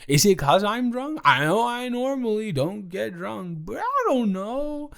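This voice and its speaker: Man playing a tipsy young male roommate, giddy and goofy, unhurried drunken rambling, wildly varied pitch, stammers, intimate audio roleplay. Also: big pitch swings, vocal fry, fairly bright tone, heavily acted. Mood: confused, mildly hurt. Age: young adult. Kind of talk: improvised audio roleplay addressed to the listener.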